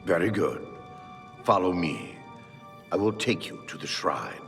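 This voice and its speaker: Fantasy game cult warden: lowish voice, ceremonious orders, cavernous reverb with soft music. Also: male voice, very animated, unhurried, gravelly, full character voice. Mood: stern, ceremonious. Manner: formal, commanding, ceremonious. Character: stern male warden of a demonic cult's shrine. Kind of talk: video game character acting. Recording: long hall reverb, faint music behind.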